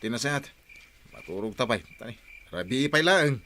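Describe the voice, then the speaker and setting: A man's voice: mid-range voice, expressive, brisk, bright, forceful tone, a little hiss or hum. An actor playing a sleepy, dismissive husband who grumbles that he wants to sleep, in a radio drama.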